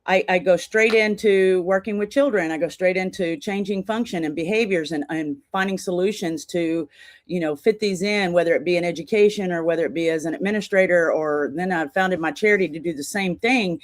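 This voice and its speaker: A woman's voice: fairly low pitch, a little fast, no echo. Earnest woman in her sixties telling stories. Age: 60s. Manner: earnest, talks in long run-on stories with laughter. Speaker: podcast guest, charity founder. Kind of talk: casual interview storytelling.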